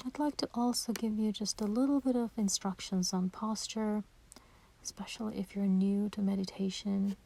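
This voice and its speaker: A woman leading a guided meditation in a soft, breathy voice, gentle and soothing, with unhurried pauses. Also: mid-range voice, fairly even intonation, rough recording.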